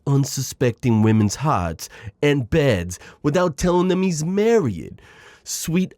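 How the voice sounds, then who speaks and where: A man's voice: medium pitch, darkish tone, dry recording, clean studio sound. A man playing a chatty, exasperated mafia boss in an audio roleplay, courteous but foul-mouthed, with wisecracking gangster slang. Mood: indignant. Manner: wisecracking gangster slang, saying "yous" and "doll". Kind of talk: ASMR roleplay acting.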